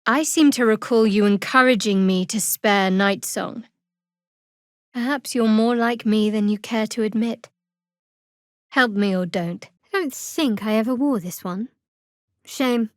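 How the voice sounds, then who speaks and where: A woman playing a guarded, wry, devout young cleric of a dark goddess in a video game, speaking in a measured way. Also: a little high, dry recording, clean studio sound, natural voice. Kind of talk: video game character voice lines.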